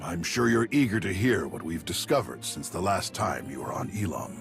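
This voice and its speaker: A man's voice: deep voice, very animated, normal speed, creaky, full character voice. A man playing an earnest, courteous expedition researcher in a video game, speaking politely and formally. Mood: courteous, concerned. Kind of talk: video game dialogue acting. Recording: music behind the voice.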